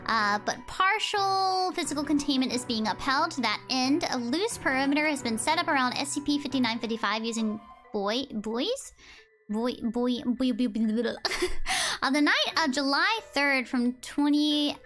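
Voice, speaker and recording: Bright high-voiced woman streamer, slightly hoarse. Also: lively intonation, moderate pace, faint music behind. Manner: chatty, giggly, stumbles over words while reading. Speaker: VTuber streamer. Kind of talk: live stream, reading aloud.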